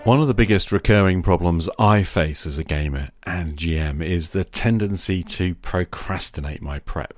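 A middle-aged man hosting a podcast, delivering a reflective scripted monologue in a measured, confessional manner. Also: sits low, faint music behind. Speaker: podcast host.

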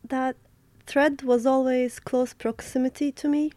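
A young woman from Turkey, an artist answering interview questions in a thoughtful, halting way, with many fillers and restarts. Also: high voice, flat delivery, mellow, dry recording.

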